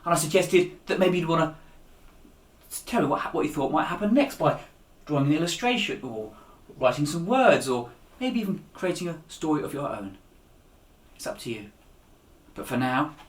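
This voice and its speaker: Echoey-room male storyteller, dramatic and expressive. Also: on the high side. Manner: dramatic, with raised voices for dialogue and hushed endings. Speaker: author reading his own children's book. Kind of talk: expressive storytelling read aloud to children.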